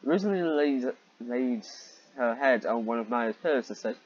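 A man reading fan fiction aloud with sarcastic, exasperated asides, often clearing his throat. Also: mid-range voice, expressive, normal speed. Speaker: host and reader of fan fiction. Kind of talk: read-aloud of fan fiction with sardonic commentary. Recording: dull, muffled lo-fi microphone.

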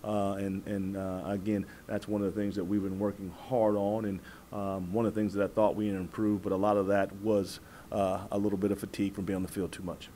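Low-voiced American coach, halting and measured. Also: male voice, flat delivery, mellow, slight background noise. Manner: halting, repeats words, measured. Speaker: college football head coach. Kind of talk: postgame press conference answers. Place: indoor press room at a table before a backdrop. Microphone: several press microphones on the table.

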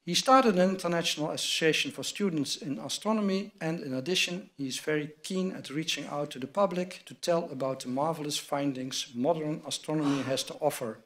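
A man's voice: on the high side, fairly bright tone. An older man in a large wood-panelled hall, reading formal award citations from notes at a lectern microphone. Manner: measured, reads from a script at a lectern. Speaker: award presenter at a university ceremony. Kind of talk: formal ceremony address read from notes.

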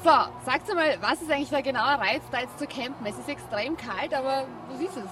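A woman's voice: high voice, brisk. A young woman with an Austrian accent, interviewing campers as a roving reporter, chatty and teasing. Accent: Austrian German. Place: outdoor campsite. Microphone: handheld mic. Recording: busy outdoor crowd and music, slightly distant.

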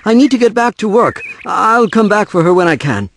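A man's voice: high voice, lively intonation, normal speed, a little hiss or hum. A man playing a harried, defensive divorced father in an audio drama, weary and impatient. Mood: exasperated. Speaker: voice actor.

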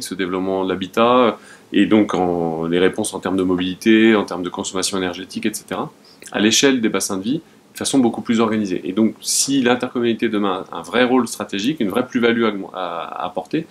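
Male mayor, thirties, lowish pitch, rapid delivery, interview setting. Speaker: mayor and local council president. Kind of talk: interview testimony.

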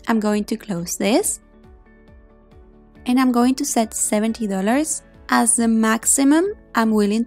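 A woman narrating a software tutorial in an instructional way, explaining step by step with casual check-ins. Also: on the high side. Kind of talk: instructional screen-recording narration. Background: background music.

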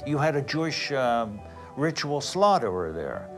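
Man reminiscing with lively pitch. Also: unhurried, soft background music. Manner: reminiscing, descriptive. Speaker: interviewee.

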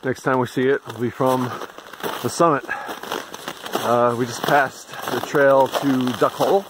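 A man's voice: medium pitch, steady tone, measured pace, noisy background. Older man narrating a snowy hike. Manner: terse, plain-spoken updates between breaths. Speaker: hiker narrating his climb. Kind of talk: casual trail narration. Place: snowy forest trail outdoors in winter. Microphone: handheld camera at arm's length. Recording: loud crunching and rustling behind.

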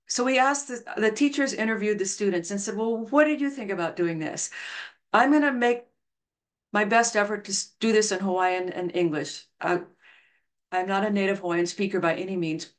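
A middle-aged woman giving an online lecture over slides on a video call, talking earnestly and telling stories. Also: mid-range voice. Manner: earnest, storytelling, reads quotes aloud. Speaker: education researcher presenting a talk. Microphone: video call webcam.

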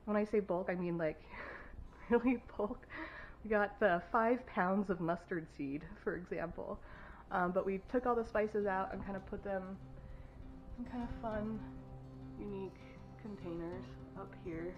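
A woman's voice: medium pitch, measured pace, dull audio, faint music behind. A woman giving a chatty, filler-heavy home tour of her wood-paneled cabin kitchen, speaking into a handheld camera. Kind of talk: casual home tour narration.

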